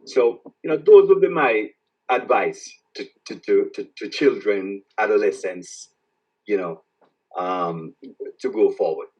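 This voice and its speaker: A man from Jamaica with a Jamaican accent, an author answering interview questions in a reflective, halting way that repeats words as he starts each thought. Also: on the low side, darkish tone, slightly rough, recorded from a distance, lo-fi sound. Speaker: author guest.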